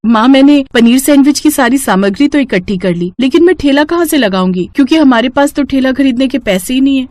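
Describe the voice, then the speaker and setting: A woman's voice: high-pitched, expressive, a little fast, few pauses, a little mellow, no echo, pitched above the performer's own voice. A woman voicing a poor, hardworking young woman in a cartoon story, speaking earnestly and respectfully. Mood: hopeful, concerned. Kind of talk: acted dialogue in a Hindi cartoon story.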